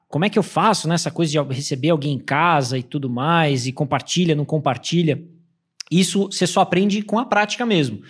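Podcast guest in his thirties: a man with a higher voice who tells anecdotes with plenty of energy. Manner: animated storytelling, acts out quoted people. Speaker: podcast guest, Airbnb host. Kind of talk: casual podcast chat. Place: podcast studio. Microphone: studio mic with headphones.

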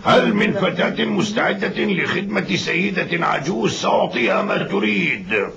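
A stern middle-aged recruiter in a cartoon dub. His proclaiming voice is high and gravelly, very animated. The sound is dull, with a clear crowd behind. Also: moderate pace. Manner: loud proclaiming. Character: stern middle-aged man recruiting a young servant girl.

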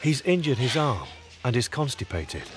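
A man's voice: mid-range voice, sing-song, moderate pace, loud music behind the voice. A man giving scripted documentary narration.

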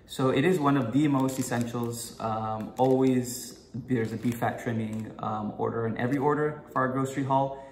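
A man's voice: mid-range voice, fairly even intonation, normal speed, a little mellow, a little hiss or hum. Chatty young man in an echoey kitchen. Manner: chatty and enthusiastic, many "um"s. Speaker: food vlogger, guest host. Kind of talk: casual to-camera vlog. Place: home kitchen, indoors.

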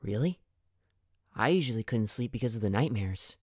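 Woman voicing a low-pitched, earnest young male hedgehog. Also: lively intonation, normal speed, a little mellow, breathy edge, no room sound, studio quality, exaggerated voice. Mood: gentle, sleepy. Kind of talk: cartoon comic dub acting.

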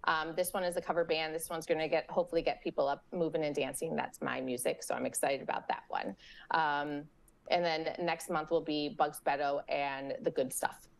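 Low-voiced woman on a video call. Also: level tone, moderate pace, vocal fry. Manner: friendly, with frequent fillers and restarts. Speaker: parks and recreation organizer. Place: home room with window blinds. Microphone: webcam or laptop mic on a video call.

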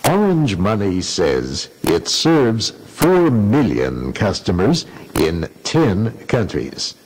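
Husky male radio news reader. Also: on the low side, wildly swooping pitch, darkish tone, poor audio. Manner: measured broadcast delivery. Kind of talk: scripted news reading.

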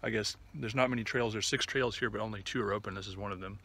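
A man in his thirties chatting casually and a bit haltingly to the camera as he walks a trail outdoors. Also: on the low side, fairly even intonation, sharp tone. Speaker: hiking vlogger. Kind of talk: casual vlog chat to camera while walking. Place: outdoors on a wooded trail and open meadow. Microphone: phone or camera at arm's length. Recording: outdoor recording with clear ambient noise.

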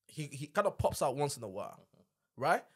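A man's voice: a little high, sing-song, fairly quick, slightly rough. A man, chatting casually and emphatically as a podcast host, full of slang, on a close studio mic. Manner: emphatic, slangy, repeats tags like "you know what I'm saying". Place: small indoor home-style podcast studio. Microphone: close boom-arm podcast microphone with headset.

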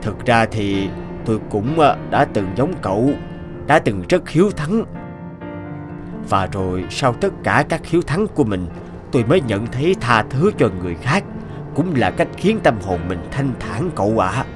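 A man voicing a calm, wise older chauffeur who speaks slowly and reflectively in a cartoon. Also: medium pitch, lightly acted. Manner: slow, reflective, gently advising. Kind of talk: cartoon voice acting. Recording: music under the voice. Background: background music.

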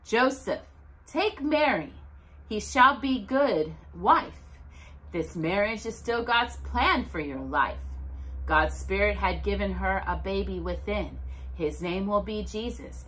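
A middle-aged woman reads aloud for a children's storytime. Her delivery is slow and sing-song, with very lively rises and falls. She speaks directly and expressively to young listeners. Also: mid-range voice. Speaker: storytime host. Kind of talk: children's storytime, reading verse and scripture aloud. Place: indoors at home by a brick fireplace.

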